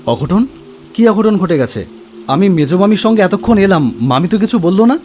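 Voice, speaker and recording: A man voicing an anxious, bewildered young nephew in a cartoon horror story, questioning in a halting, startled way. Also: sits high, lively intonation, warm tone, a little hiss or hum, pitched above the performer's own voice. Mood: puzzled, earnest. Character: anxious, bewildered young nephew visiting his uncle's house.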